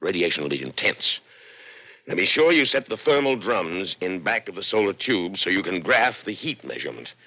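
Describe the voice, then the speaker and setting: A proud, imperious scientist from a vintage radio play. His low voice is hard-edged and very forceful, swinging widely as he lectures, on a dull old broadcast recording. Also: sing-song, moderate pace, exaggerated voice. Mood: assured, instructing. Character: proud, coldly rational inventor, a doctor and father. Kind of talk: 1960s radio drama acting.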